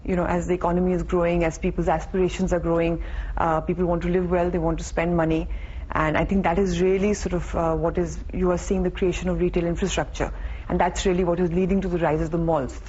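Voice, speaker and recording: A woman's voice: on the low side, a little mellow, breathy edge. A woman with an Indian accent answering interview questions as a business executive, in a considered way that repeats phrases for emphasis. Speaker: real estate executive being interviewed. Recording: dull sound with faint background noise.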